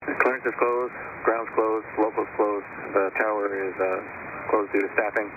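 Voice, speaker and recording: A male air traffic controller speaks in clipped phrases over a noisy radio. His voice is low and very monotone, coming through an aviation channel. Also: moderate pace, narrow, tinny audio. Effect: aviation radio transmission.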